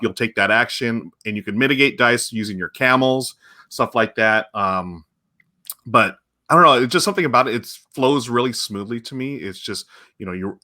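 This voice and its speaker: Male stream host, bright voice, eager and chatty. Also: medium pitch. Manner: enthusiastic, chatty. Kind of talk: casual livestream chat.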